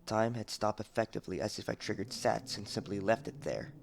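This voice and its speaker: A man's voice: fairly low pitch, brisk, gravelly. A young man reading a story as dramatic scripted narration, recorded on an amateur headset mic with some noise. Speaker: audiobook narrator.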